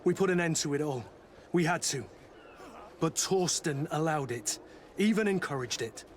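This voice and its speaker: An actor playing a weary, loyal veteran soldier in a video game, speaking in an earnest, measured way. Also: male voice, a little high, expressive, slight background noise, close to an everyday voice. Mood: grave, regretful. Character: weary, loyal veteran mercenary soldier, plain-spoken and resolute. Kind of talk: video game dialogue acting.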